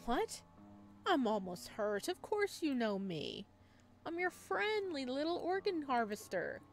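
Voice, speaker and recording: A woman puts on a menacing, flirty male stalker from a horror game. He teases and drags out his words, pitched up and smug, with music behind him. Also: big pitch swings, a little slow, exaggerated voice. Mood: teasing, menacing.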